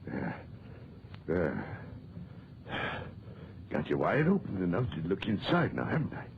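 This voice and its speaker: An actor playing a stubborn amateur antiquarian who mutters theatrically to himself in a 1940s radio drama. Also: male voice, sits low, wildly swooping pitch, unhurried, exaggerated voice. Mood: muttering, determined. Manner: theatrical muttering with tag questions and repeats. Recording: muffled, very noisy old 1940s radio recording.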